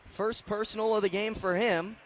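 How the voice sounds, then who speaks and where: A man calling live sports play-by-play for radio in an excitable way, raising his voice to a shout on big plays. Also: sits high, moderate pace. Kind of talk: live radio football and basketball play-by-play commentary. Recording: clearly noisy live broadcast sound.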